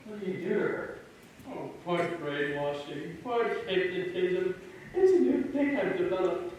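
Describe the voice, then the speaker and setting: A young man playing a bumbling male secret agent in a live stage play, with loud stage delivery. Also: high voice, measured pace. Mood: scheming. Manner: loud stage delivery, bursts of excited shouting. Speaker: student actor. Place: school theatre stage. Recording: echoey hall from far off, some noise.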